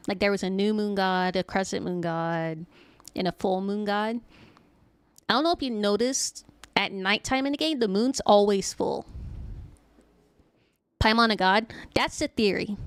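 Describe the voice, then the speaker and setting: A woman chats casually as she streams a video game. Her medium-pitched voice is clean and smooth, recorded close in a very dry space. She rambles informally and jokes as she goes. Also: no room sound. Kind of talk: casual chatty livestream commentary.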